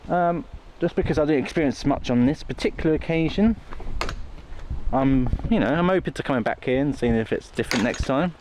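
A man's voice: on the high side, expressive, fairly quick, a little mellow, slight background noise, rough recording. A man chatting informally as a vlog host, rambling through his thoughts on a handheld recording outdoors. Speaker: video host exploring haunted places. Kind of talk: casual vlog chat to camera. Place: outdoors on a woodland walk. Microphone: handheld phone.

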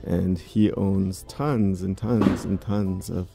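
Low gravelly man vlogging. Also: fairly even intonation, slow, loud music behind the voice. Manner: musing, chatty remarks to himself. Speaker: vlogger giving a store walking tour. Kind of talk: casual walking-tour commentary. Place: large indoor department store. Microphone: handheld phone camera while walking.

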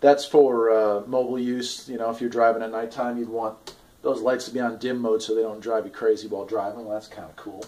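A man's voice: lowish voice, flat delivery, fairly quick, dark tone. Laid-back man giving a casual review. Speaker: product reviewer, farm owner. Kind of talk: casual unscripted video review. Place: garage, indoors.

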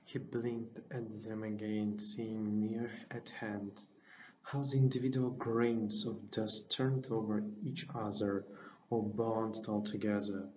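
A young man reads literary prose slowly in a flat, somewhat low voice. The recording is roomy and dull, with faint noise behind him. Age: young adult. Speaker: student reader. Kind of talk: reading literary prose aloud. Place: indoor room with bare wall.

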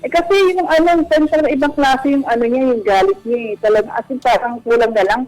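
A Filipino woman speaks as a radio caller, confiding in the hosts in casual, personal talk. Soft music runs underneath her voice. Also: fairly high pitch, brisk, poor audio. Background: background music.

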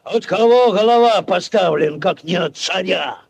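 A man playing a pompous, self-important village headman in a 1940s radio play, blustering and stammering in a husky voice. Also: high voice, unhurried, muffled recording, full character voice. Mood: blustering, commanding. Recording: old 1940s radio recording.

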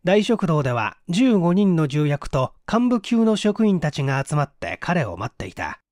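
Man reading a mystery story, lively intonation. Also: fairly high pitch, no echo, clean studio sound. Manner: measured storytelling with acted dialogue. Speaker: audiobook reader. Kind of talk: dramatic narration of a mystery story.

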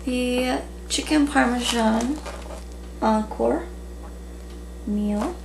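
A woman in her thirties chatting casually in a relaxed way with many ums, recorded at home on a camera at a table. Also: a little high, drawn-out words, gravelly. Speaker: vlogger. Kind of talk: casual haul video chat. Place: small room at home, indoors. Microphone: camera in front at table. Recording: noisy home webcam recording.

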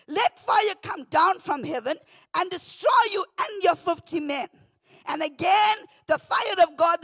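A woman pastor with a South African accent, preaching a morning devotion from a church pulpit into a handheld mic, often shouting, then dropping to a hush. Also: extremely high pitch, no echo. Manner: fervent, often shouting, swings from loud to hushed. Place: church stage behind a pulpit. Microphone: handheld microphone.

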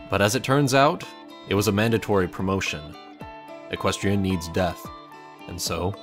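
A man reading a scripted second-person story aloud as its narrator. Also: low-pitched.

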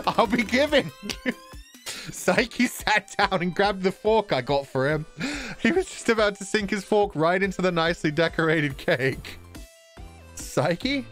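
A man streaming a game, reading its dialogue aloud with jokey ad-libs in a playful, animated manner. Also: sits high, a little slow. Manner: animated, stammering for effect, jokey. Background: visual novel game music.

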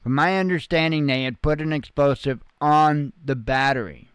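A man's voice: on the high side, normal speed, clean tone, no room sound, studio quality. A man giving informal news commentary as a channel host, explaining things in a folksy, plain-spoken way.

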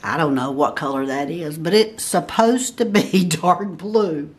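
A woman talks slowly and patiently through a craft pattern, counting squares aloud one by one. Her voice sits on the lower side. A faint background hiss stays under her speech. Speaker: barn quilt pattern instructor. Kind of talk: step-by-step craft tutorial. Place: at a table over a paper pattern, indoors.

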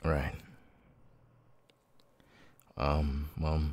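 Man acting a very deep, halting, weary young drifter. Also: flat delivery, full character voice. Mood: subdued, grateful. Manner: halting, stammering, with abrupt repeated-word tics. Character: weary, homeless young male ex-convict with vocal tics. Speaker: voice actor. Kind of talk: ASMR audio roleplay. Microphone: close microphone, dry.

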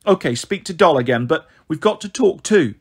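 A fragile, volatile storybook mother, acted by a man, speaks in a husky, raised voice. She wheedles or sounds beaten. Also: on the high side, expressive, normal speed. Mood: coaxing. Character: volatile, fragile mother, brightly coaxing or defeated.

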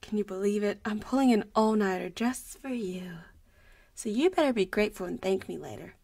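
Breathy, teasing vampire schoolgirl, acted by a woman. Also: medium pitch, lively intonation, fairly quick, a little mellow, no echo, clean studio sound. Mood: casual, protective. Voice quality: breathy, often dropping to a whisper. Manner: playful, giggly, intimate. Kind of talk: audio roleplay voice acting, addressing the listener.

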